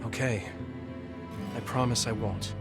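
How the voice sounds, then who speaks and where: Guy doing a sincere young soldier, steady and reassuring, game music playing behind. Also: mid-range voice, a little slow, close to an everyday voice. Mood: earnest. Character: earnest loyal young male soldier. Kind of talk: game cutscene dialogue.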